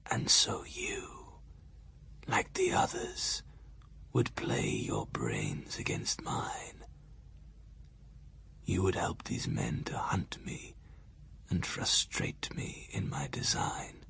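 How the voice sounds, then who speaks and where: An old evil vampire count, slow creepy sneering whisper, gothic audio drama. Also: male voice, low voice, vocal fry, a bit bright, slightly rough, pitched below the performer's own voice, full character voice. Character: ancient mocking male vampire count, menacing and predatory.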